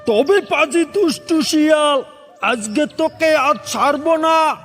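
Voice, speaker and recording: A man's voice: very high-pitched, takes it slowly, a bit bright, pitched above the performer's own voice, exaggerated voice. A man voicing a bold, indignant protector tiger in a children's cartoon, delivering roaring threats. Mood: indignant, threatening. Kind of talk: Bengali children's cartoon storytelling. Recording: heavy reverb with music.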